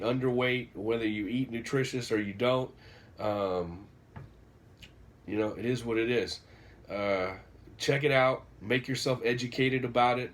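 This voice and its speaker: A man, congested and nasal from a cold, casually rambling through a book recommendation in a vlog. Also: mid-range voice. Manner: rambling, many fillers and restarts. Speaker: vlogger reviewing a book.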